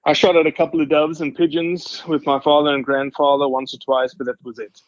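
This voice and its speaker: A man's voice: a little high, little pitch movement, fairly quick, no echo, muffled recording. A South African man in his forties talking casually as a podcast guest, humble and dryly joking. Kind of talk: casual interview storytelling.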